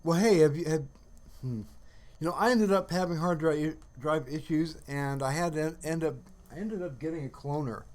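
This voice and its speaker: A man hosting a casual livestream, chatting in a rambling, halting way and often trailing off mid-sentence. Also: a little high, darkish tone. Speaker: livestream host.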